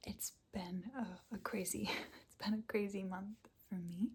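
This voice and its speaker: Woman ASMR host for a casual update chat, whispered throughout and very breathy. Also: mid-range voice. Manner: soft unvoiced whisper with small quiet laughs.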